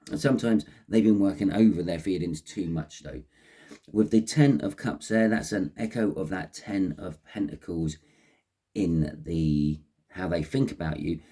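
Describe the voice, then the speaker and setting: A man with a croaky voice and a head cold, giving an unscripted tarot reading in a halting, repetitive way. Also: sits low, warm tone. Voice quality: croaky, husky. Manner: halting, repeating phrases as he explains. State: has a cold with a runny nose. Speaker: tarot reader and host.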